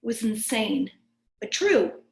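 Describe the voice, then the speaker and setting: A woman's voice: medium pitch, sing-song, slow pace, creaky, reverberant. A woman cantor leading a children's story time, talking simply to young listeners and asking them questions.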